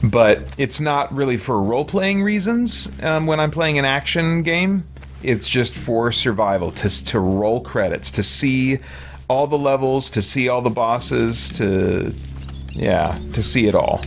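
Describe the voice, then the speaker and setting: Guy streamer chatting over a game, normal pitch, rambly and thoughtful, bit of game noise in the back. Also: lively intonation, unhurried. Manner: rambling, reflective, explanatory. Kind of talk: casual gameplay commentary, chatting with viewers.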